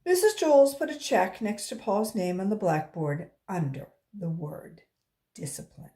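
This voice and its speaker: Expressive woman reading a children's story, unhurried. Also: medium pitch. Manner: acts out dialogue with emphasis. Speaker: volunteer story reader. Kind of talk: expressive read-aloud for children.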